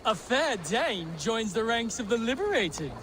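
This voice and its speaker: An actor playing an easygoing, blissful cult reveller in a video game, affable and airy. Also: male voice, very high-pitched, sing-song, unhurried, background noise, heavily acted. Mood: welcoming. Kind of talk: video game character acting.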